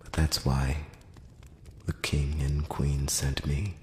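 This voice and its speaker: A man acts out an ancient elven envoy in a whispered fantasy roleplay, with an extremely deep, soft voice. He speaks slowly and formally, with sly, courtly condescension. The close voice rings through a long, echoing hall reverb. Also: little pitch movement, slight background noise. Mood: earnest, diplomatic. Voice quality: very low, soft, often slipping into whisper. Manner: slow, hushed, measured, with formal courteous phrasing. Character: ancient, courtly, slyly condescending male elf emissary. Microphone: close-up microphone.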